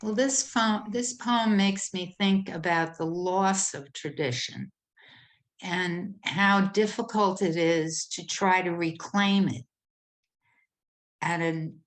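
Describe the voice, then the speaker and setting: Slow, reflective older woman on a video call. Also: on the low side, roomy sound. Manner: slow, reflective, pausing mid-sentence. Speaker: participant. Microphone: video call computer mic.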